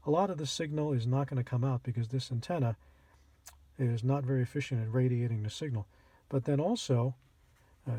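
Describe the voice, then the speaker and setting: A man hosting a casual how-to demonstration, explaining things plainly and step by step with a husky voice. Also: mid-range voice, warm tone, dry recording. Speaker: host of a radio how-to channel. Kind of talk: casual instructional product demonstration.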